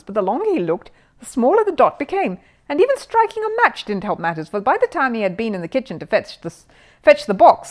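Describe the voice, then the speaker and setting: Animated woman reading a children's story. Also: mid-range voice. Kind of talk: expressive scripted storybook narration.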